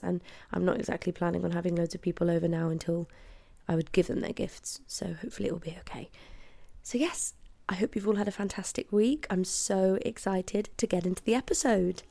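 A woman in her twenties hosts her podcast from her flat late at night, her voice hoarse from a cold. She talks in a chatty, apologetic way, and her lowish voice has a gravelly edge to it. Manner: chatty and apologetic, with frequent laughs. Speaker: podcast host. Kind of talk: casual solo chat. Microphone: headphones and podcast mic.

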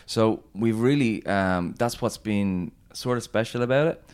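Deep-voiced Irish man, easygoing. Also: a little slow, a little mellow, smooth. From Ireland. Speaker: event organizer, guest. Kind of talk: casual interview chat.

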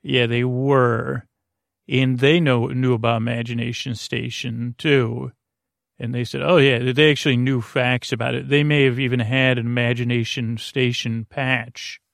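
A man hosting a bedtime podcast, meandering and halting as he interrupts himself and drifts through a rambling monologue with a creaky voice. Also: mid-range voice, little pitch movement, a bit bright, dry recording, studio quality. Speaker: podcast host.

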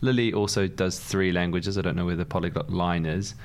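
Deep voiced guy hosting a chill podcast, lots of um and mm, laughs along. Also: steady tone, fairly quick, slight background noise. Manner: curious, chuckling, many fillers. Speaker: podcast host. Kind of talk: casual conversation.

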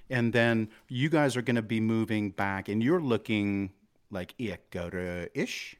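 Middle-aged male host, low voice, friendly teasing interviewer who laughs a lot. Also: slow pace. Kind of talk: casual interview chat.

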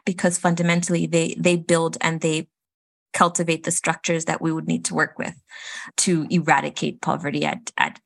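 Low-voiced woman from Malawi. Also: creaky, no echo. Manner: earnest, precise, cites figures. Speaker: guest from an aid organisation. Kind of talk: interview answers.

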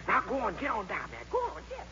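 An actress playing a folksy, affectionate housemaid who teases and encourages in ungrammatical talk, in 1950s radio style. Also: female voice, a little high, huge pitch swings, a little fast, pushed and bright, slight background noise, heavily acted. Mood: excited, coaxing. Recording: muffled old radio recording.

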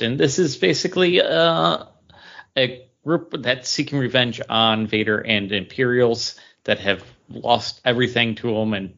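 A man's voice: medium pitch, vocal fry, a bit bright, lo-fi sound. A man co-hosting a podcast, explaining and reviewing in casual chat. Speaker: podcast co-host reviewing comics.

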